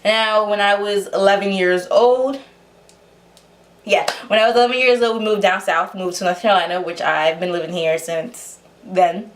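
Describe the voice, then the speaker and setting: A woman's voice: mid-range voice, fairly even intonation, normal speed, slight background noise. A young woman with a New York accent, telling her life story to camera in a chatty way, full of fillers and restarts. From Honduras. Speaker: vlogger.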